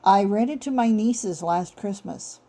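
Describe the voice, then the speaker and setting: A woman's voice: medium pitch, measured pace, mellow. A woman voicing a wry, unflappable male police detective in a dry, understated manner.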